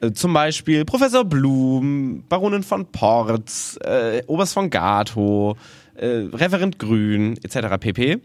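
A man's voice: mid-range voice, sing-song, a little slow, dry recording. Male podcast host with a cold, playful and joking, dry close sound. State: stuffy with a cold. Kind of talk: casual chat.

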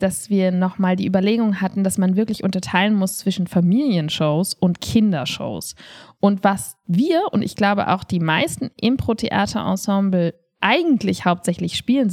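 A woman's voice: medium pitch, a little mellow. A woman hosting a podcast in a casual, conversational style. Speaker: podcast host.

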